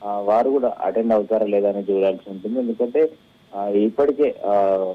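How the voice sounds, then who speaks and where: A man's voice: medium pitch, slightly rough. Male correspondent on a tinny phone line. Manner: halting, repetitive phrasing with English words mixed in. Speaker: news correspondent. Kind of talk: unscripted live news report.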